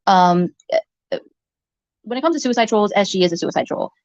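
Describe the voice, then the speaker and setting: Soft-voiced woman, fast rambling. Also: mid-range voice, expressive, no echo, lo-fi sound. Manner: hesitant, many fillers and restarts. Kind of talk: rambling livestream talk.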